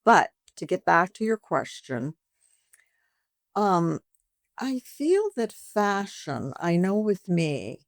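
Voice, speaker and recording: A lively woman in her seventies talks in a somewhat low voice, chatting freely as an interview guest. The sound is dry and close, with no room around her. She slips into the voices of her customers as she tells her stories. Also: takes it slowly, dry recording. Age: 70s. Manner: chatty, animated, acts out customer dialogues. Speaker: boutique owner and guest. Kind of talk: conversational interview.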